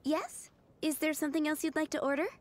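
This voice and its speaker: A woman's voice: sits high, flat delivery, fast talker, slight background noise, natural voice. A woman voicing a polite, cheerful young waitress in a video game, courteous and lightly playful.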